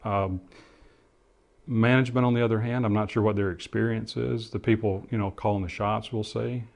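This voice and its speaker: A middle-aged man giving interview testimony in a halting, reflective way as he explains what he remembers. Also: fairly low pitch, steady tone, gravelly, a little mellow. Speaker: interviewee, former air traffic controller and pilot.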